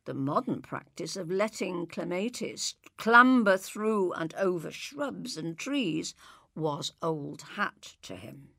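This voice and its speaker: A woman's voice: mid-range voice, gravelly, fairly bright tone, no room sound, studio quality. A woman reading a literary piece aloud as a scripted narration. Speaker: presenter reading literary extracts.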